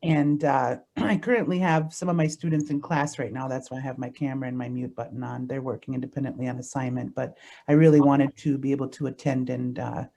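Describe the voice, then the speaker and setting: A woman teacher introducing herself on a video call, a little hesitant and quiet. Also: extremely low pitch, fairly even intonation, a little fast, creaky. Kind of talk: self-introduction.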